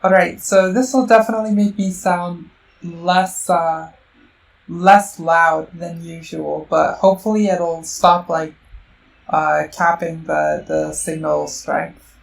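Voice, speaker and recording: A woman streamer with a sore throat talks slowly and with a gravelly edge while she works through a board game out loud, full of ums and restarts. Her voice comes across a little robotic, and the mic sits back from her in a roomy space with a faint hiss behind. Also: on the low side, fairly even intonation, distant mic. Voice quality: slightly robotic-sounding voice. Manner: thinks aloud with many fillers and restarts. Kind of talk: casual live-stream game commentary.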